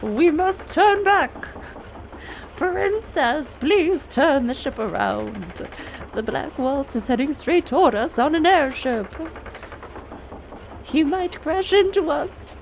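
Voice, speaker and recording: A woman's voice: high voice, lively intonation, slow pace, a little hiss or hum, pitched above the performer's own voice, full character voice. A woman voicing an anxious, formal male knight from a fantasy video game, alarmed and emphatic. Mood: alarmed, pleading.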